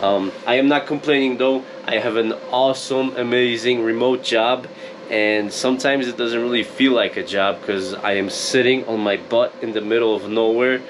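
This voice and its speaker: A man with a Polish accent talks in a loose, rambling vlog style, drifting between thoughts and poking fun at himself. His voice sits at an ordinary middle pitch. A steady hiss of background noise runs under the small container room he records in. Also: normal speed. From Poland. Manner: rambling, chatty, self-deprecating. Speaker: vlogger. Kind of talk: casual vlog chat. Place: small room in a shipping container, rural desert.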